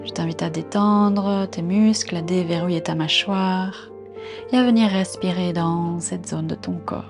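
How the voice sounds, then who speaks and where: A woman guiding a body scan meditation in a calm, gentle, unhurried manner, giving soft instructions with pauses. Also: on the low side, over music. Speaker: meditation guide.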